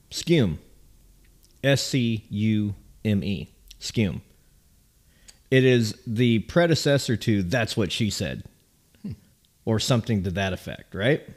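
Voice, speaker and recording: A man hosting a podcast in casual chat, teasing his guests and quick to laugh. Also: lowish voice, darkish tone. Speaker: podcast host.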